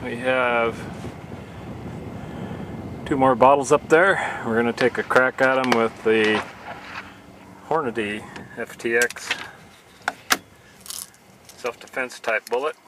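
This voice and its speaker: A man with a mid-pitched voice narrates in a plain, matter-of-fact way, as if running through tests at a range. The recording is noisy and outdoors, with the clatter of the open air around him. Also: steady tone, takes it slowly, long pauses. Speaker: channel host. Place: outdoor shooting range, partly in a car.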